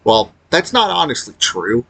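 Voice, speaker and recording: A man giving casual, unscripted opinion commentary as a gaming video creator, halting as he talks and blunt in his complaints. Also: medium pitch, big pitch swings, gravelly, pushed and bright, breathy edge.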